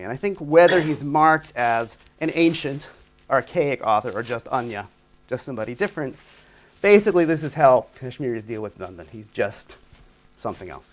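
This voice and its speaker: A man's voice: mid-range voice, a little hiss or hum. A middle-aged man giving an academic lecture and answering questions, thinking aloud with fillers, on a lapel mic in a lecture hall. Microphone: lapel mic at a lectern.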